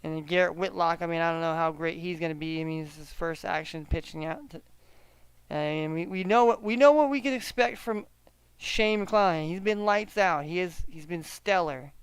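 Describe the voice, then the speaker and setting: A man hosting a casual solo sports betting chat, often halting and repeating himself as he talks through his picks. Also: a little high, clean tone, no echo, poor audio. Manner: halting, repeats words, hedging gut calls. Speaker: sports betting show host.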